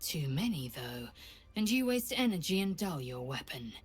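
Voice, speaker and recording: A woman playing a stern, haughty alien warrior in a fantasy video game, speaking in a clipped, disdainful, commanding way with a husky rasp. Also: sits low, big pitch swings, normal speed, soft background music, heavily acted. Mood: coolly approving. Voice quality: husky, raspy.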